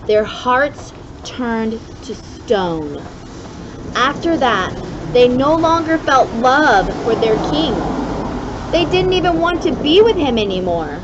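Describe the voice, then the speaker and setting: A woman reading a children's storybook aloud in an expressive way, with deliberate dramatic emphasis. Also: fairly high pitch, lo-fi sound, background noise. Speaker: storybook reader for a kids club.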